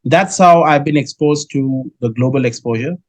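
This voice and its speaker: A middle-aged man from Kolkata with an Indian English accent, answering interview questions in a measured way with many filler sounds. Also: mid-range voice, no echo. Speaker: guest, dairy business head.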